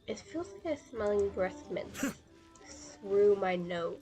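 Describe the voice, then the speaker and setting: A woman's voice: medium pitch, expressive, takes it slowly, mellow, faint music behind. A woman doing casual live gameplay commentary, talking to herself in a playful, rambling way. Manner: playful, rambling self-talk. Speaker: game streamer.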